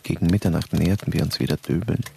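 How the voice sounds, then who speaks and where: An actor narrating a radio drama in the first person, calm and understated. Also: male voice, low-pitched, fast talker, gravelly, a little hiss or hum.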